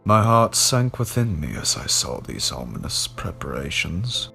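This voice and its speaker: A man reading a gothic horror story as a scripted narration, in a measured storytelling manner. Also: extremely low pitch, very animated, vocal fry, sharp tone, faint music behind.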